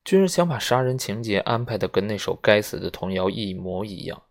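A man's voice: fairly low pitch, very animated, a little fast. A man voicing a hard, cold adventurer who speaks curtly and turns frantic under strain, in an audiobook. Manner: cold and curt, then frantic.

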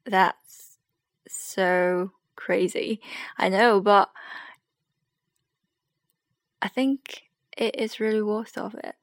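A young woman with a Chinese accent, rambling hesitantly and softly in a late-night solo podcast. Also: mid-range voice, steady tone, slow pace, dry recording, clean studio sound. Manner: hesitant, rambling, soft and sleepy. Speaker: podcast host.

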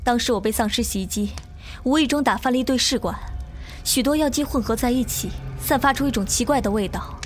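A woman's voice: a little high, a little fast, soft background music, natural voice. A woman playing a serious, determined survivor with a scientific bent in an audio drama, explaining things earnestly. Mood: earnest recounting.

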